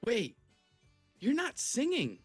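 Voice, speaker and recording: Woman as insistent little boy, high husky voice, comedy script read, faint music behind. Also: wildly swooping pitch, slow, full character voice. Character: young boy, observant and insistent son. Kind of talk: live online table read of a comedy film.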